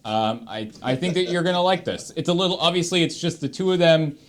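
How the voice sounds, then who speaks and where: A man's voice: on the high side, a little fast, a little hiss or hum. Enthusiastic Canadian man chatting. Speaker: panelist on a charity livestream. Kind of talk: casual chat recommendations.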